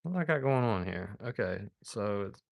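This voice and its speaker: Deep-voiced laid-back man in his thirties. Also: lively intonation, normal speed, vocal fry, dry recording. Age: 30s. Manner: laid-back and rambling, calls viewers dude and man. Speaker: model-kit builder streaming live. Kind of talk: casual live-stream chat while building.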